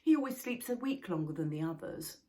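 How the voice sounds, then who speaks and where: A woman voicing a gentle, curious young male troll in a storybook reading, in a lively manner. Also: deep voice, brisk. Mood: cheerful.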